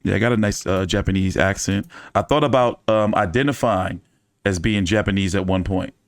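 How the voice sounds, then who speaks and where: A man's voice: deep voice, moderate pace, no room sound, studio quality. A man hosting a casual fight-commentary live stream, talking in loose, profane, joking banter. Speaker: boxing commentary host.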